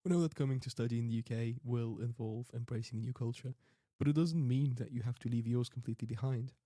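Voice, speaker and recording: A man's voice: medium pitch, steady tone, fast talker, warm tone, no room sound, studio quality. A young man hosting a student podcast, reading scripted links and asking questions with some hesitant restarts. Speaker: podcast host.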